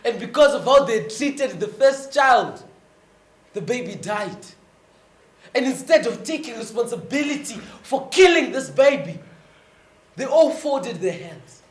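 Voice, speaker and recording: A woman tells a fable in a high, deliberate voice, pausing for dramatic weight. She speaks slowly, as a stage storyteller would. The recording is very echoey, caught from far off with music behind. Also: lively intonation. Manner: dramatic, deliberate, with repetition and long pauses. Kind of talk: theatrical scripted narration of a fable.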